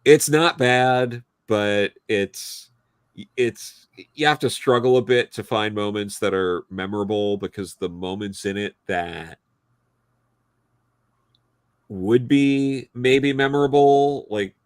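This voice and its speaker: A man with a lowish voice talks through his opinions as a review panelist. He circles around his points in a halting, rambling way, and the sound is close and dry. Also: clear, resonant tone, no echo. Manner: halting, rambling, hedging delivery. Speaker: podcast panelist reviewing an audio drama. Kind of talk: casual opinionated review discussion.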